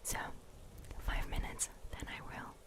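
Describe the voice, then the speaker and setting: A woman streaming ASMR, chatting casually to viewers in a soft, breathy whisper close to a sensitive microphone. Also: a little slow.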